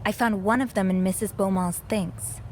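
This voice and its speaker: An actress playing an earnest, curious young amateur sleuth in a video game, speaking in a hesitant, thoughtful, understated way. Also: female voice, medium pitch, fairly even intonation, moderate pace, slight background noise, natural voice. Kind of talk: naturalistic video game acting.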